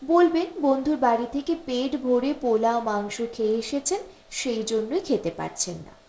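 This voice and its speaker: A woman voicing a teasing, hospitable married mother in an audiobook story, gently reproachful and bossily caring. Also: on the high side, lively intonation, moderate pace. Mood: playful teasing. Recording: roomy, echoing voice recording.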